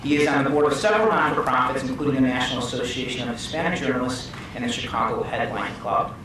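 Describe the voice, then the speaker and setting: A man's voice: mid-range voice, quick pace. A man in his thirties moderating a panel discussion, upbeat and friendly, at a conference room table mic. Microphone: table mic on stand. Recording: echoey room, mic at a distance, noisy.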